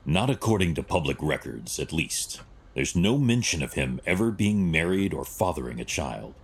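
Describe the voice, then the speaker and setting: An actor playing a stern, analytical vigilante detective in an audio drama, terse and clinical, with a gravelly voice. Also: male voice, extremely low pitch, big pitch swings, moderate pace, heavily acted. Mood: clinical, measured.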